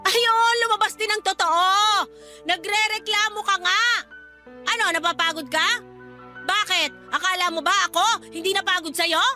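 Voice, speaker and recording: Bitter nagging mom, super high sharp voice, radio drama, scornful. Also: female voice, fairly even intonation, normal speed, soft background music, heavily acted. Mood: scornful, reproachful. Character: bitter, domineering mother scolding her adult daughter. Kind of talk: Filipino radio drama acting.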